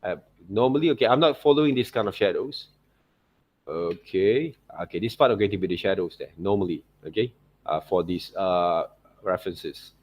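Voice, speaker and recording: A man's voice: low voice, normal speed. Malaysian-accented man teaching a class. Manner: repeats tag words like okay and right. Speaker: art teacher. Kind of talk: informal software tutorial to students.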